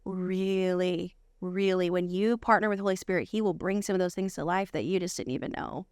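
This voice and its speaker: A woman's voice: on the low side, brisk, clear, resonant tone, no echo, clean studio sound. An American woman talking casually and earnestly about personal experience as an interview guest, repeating phrases for emphasis. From United States. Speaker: podcast guest.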